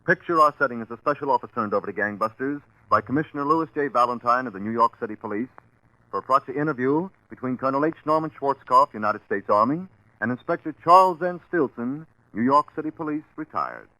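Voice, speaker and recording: A man's voice: mid-range voice, animated, fast, bright, forceful tone, no room sound, low-quality recording. A man announcing and reading commercials on 1940s radio in an emphatic, salesmanlike way. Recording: vintage 1940s radio broadcast.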